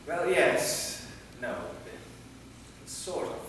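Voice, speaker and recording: A man's voice: fairly high pitch, drawn-out words, fairly bright tone. A man acting an anxious, earnest, centuries-old vampire knight in a live staged play reading. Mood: explaining. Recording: echoey hall from far off, faint noise.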